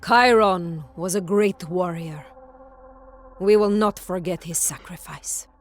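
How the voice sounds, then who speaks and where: A woman's voice: fairly low pitch, slow, a little hiss or hum. A woman playing a cool, stoic warrior in a video game cutscene. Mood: solemn.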